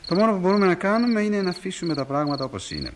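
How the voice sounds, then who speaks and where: An actor playing a middle-aged small-town husband in radio theatre, mildly grumbling yet kindly, weary and reasoning. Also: male voice, high voice, very animated, noisy background. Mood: reflective.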